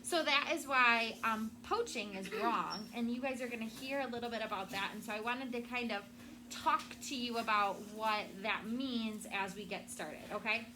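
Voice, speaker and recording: A woman's voice: a little high, normal speed, background noise. A woman schoolteacher reading aloud and talking to children in a classroom, explaining in simple words and checking in with questions.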